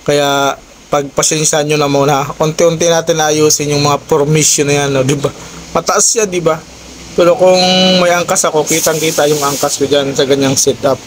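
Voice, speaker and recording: A man's voice: fairly high pitch, steady tone, moderate pace, slight background noise. A man chatting casually to his viewers as a motovlogger, often checking in with little tag questions. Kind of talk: casual vlog chat to viewers. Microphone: old cellphone, no intercom.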